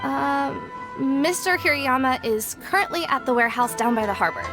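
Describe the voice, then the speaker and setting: A woman's voice: sits high, animated, moderate pace. A woman voicing a friendly young female editor in a video game, breezy and reassuring. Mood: brisk. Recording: game audio with music behind.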